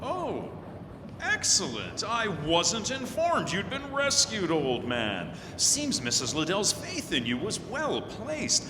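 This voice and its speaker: An actor playing an officious, menacing police corporal in an old-time radio drama, with a pompous, theatrical delivery. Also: male voice, high voice, huge pitch swings, slow pace, exaggerated voice. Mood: feigned politeness. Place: live stage staged as a radio studio. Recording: echoey hall with clear background noise.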